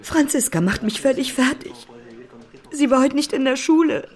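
High-pitched, exasperated mother played by an actress. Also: normal speed, natural voice. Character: exasperated middle-aged wife and mother, fretful. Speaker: actor in a radio play. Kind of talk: radio drama acting.

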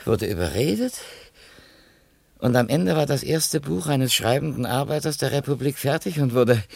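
An actor playing a courteous, talkative, enthusiastic publishing editor in a German radio drama from the 1970s. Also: male voice, medium pitch, animated, normal speed, vocal fry, darkish tone, no room sound. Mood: earnest, admiring. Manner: effusive, courteous, articulate.

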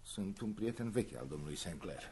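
Husky, matter-of-fact playwright. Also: male voice, sits low, animated, warm tone, heavily acted. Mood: composed. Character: matter-of-fact male playwright, composed old family friend. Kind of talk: radio play acting, comedy.

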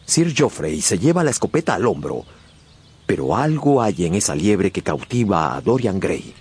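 A man narrating a radio novel in a dramatic, suspenseful style with measured phrasing. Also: deep voice, big pitch swings, a little mellow, slightly rough. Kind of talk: dramatic scripted audiobook narration.

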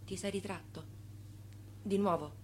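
An actress in a radio drama playing a reproachful wife, quiet and questioning, with a breathy voice. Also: female voice, mid-range voice, steady tone, a little slow. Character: reproachful, searching wife in a night bedroom.

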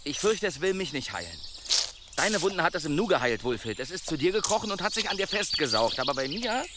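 An actor playing an injured, fussy demon hunter in a radio drama, pleading in a plaintive way. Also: male voice, fairly high pitch, very animated, fast talker, very noisy. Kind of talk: German radio drama acting. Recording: clear birds and outdoor sounds behind.

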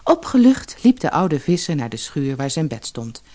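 A woman's voice: low-pitched, very animated, moderate pace, dark tone. A woman reading a scripted fairy tale as an audiobook narrator.

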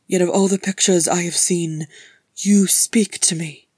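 An earnest male dwarf of a fantasy tale, acted by a woman reader. He speaks with emphatic, archaic formality and awestruck bewilderment. The sound is dry and close, the delivery unhurried. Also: fairly low pitch, fairly even intonation, a little mellow, breathy edge, no room sound. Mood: awestruck, respectful.

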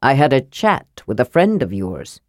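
Storybook ancient dwarf, male, calm and mysterious, strong low voice, mild manner; played by a female narrator. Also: moderate pace, no room sound, clean studio sound. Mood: calm, knowing. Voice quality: strong, deep, youthful.

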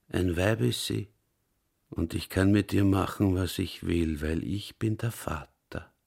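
A menacing older Viennese caretaker in a radio play. His low voice is thick with gravel. He speaks coarsely and slowly, swinging hard between growls and blustering outbursts. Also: a little mellow, heavily acted. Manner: coarse, blustering, dialect-tinged. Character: brutish, loud-mouthed, menacing older caretaker and father. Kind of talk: radio drama acting.

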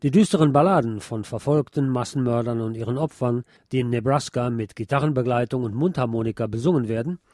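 A man narrates a scripted film review in a mellow voice of medium pitch. The recording is dry and close, with no room sound. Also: quick pace, hardly any pauses, no room sound.

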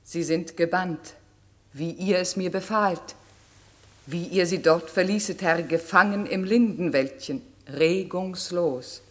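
A slow, low-voiced air spirit. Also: female voice. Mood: sorrowful, pitying. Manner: deliberate, poetic, deferential. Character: gentle, obedient air spirit serving a master. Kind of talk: classic German radio play acting.

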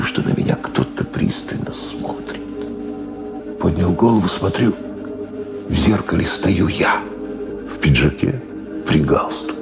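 Brooding, deep-voiced prosecutor confessing. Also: male voice, gravelly, exaggerated voice. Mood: haunted, brooding. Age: middle-aged. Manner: brooding, rhetorical self-questioning, dramatic pauses. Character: troubled middle-aged prosecutor confessing his compromises, brooding and self-justifying. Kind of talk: radio drama inner monologue.

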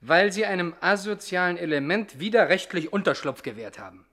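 An actor playing an officious, blustering and bumbling police inspector in a 1960s radio comedy, emphatic and repeating himself. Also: male voice, high-pitched, lively intonation, fairly quick, fairly bright tone. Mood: stern, blustering.

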